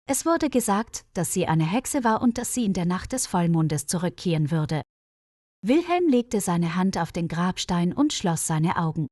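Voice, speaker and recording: A woman reading a scripted mystery story for language learners in a measured, careful storyteller's delivery. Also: medium pitch, a little mellow, dry recording, clean studio sound.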